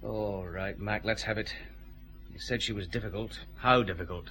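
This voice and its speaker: An actor playing an authoritative detective chief inspector in a radio drama, with a clipped, commanding delivery. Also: male voice, on the low side, normal speed, pushed and bright. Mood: stern. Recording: clear background noise, ambience.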